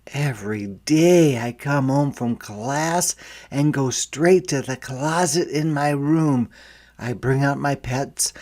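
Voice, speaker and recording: A man reads a storybook aloud in a medium-pitched voice. His pace is slow and deliberate, like a teacher with young listeners. He steps out of the story now and then to explain things more quietly. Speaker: teacher reading a picture book aloud. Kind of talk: interactive read-aloud storytelling with explanatory asides.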